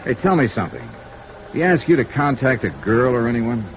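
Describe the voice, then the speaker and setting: Gravelly, animated hard-boiled radio investigator acted by a man. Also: medium pitch, a little fast, a little hiss or hum, close to an everyday voice. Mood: casual, probing. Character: world-weary, sympathetic freelance insurance investigator, a man. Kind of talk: 1950s radio noir acting, first-person narration. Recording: muffled vintage radio recording, faint music.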